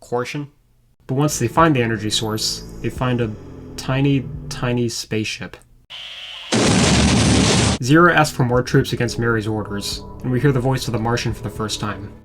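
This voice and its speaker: A man's voice: mid-range voice, moderate pace, background noise. A man reading scripted commentary as a video reviewer, with a wry, sarcastic manner. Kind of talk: scripted commentary over show clips.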